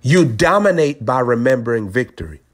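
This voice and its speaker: A man preaching in a prophetic teaching style, deliberate and aphoristic, repeating phrases for emphasis. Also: mid-range voice, big pitch swings. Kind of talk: prophetic teaching over a phone call.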